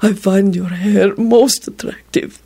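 A woman voicing a huge, creepy male goblin in storytelling, breathy and groaning, wretched and fawning, with drawn-out pleading words. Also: medium pitch, steady tone, mellow, pitched above the performer's own voice. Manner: slow, drawn-out, pleading delivery.

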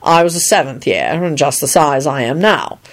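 A woman's voice: low voice, sing-song, moderate pace, heavily acted. A woman voicing a huge, sonorous government minister in a storybook, stern and solemn with a wry streak. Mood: amused, affectionate. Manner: solemn, wry, bellowing when cross.

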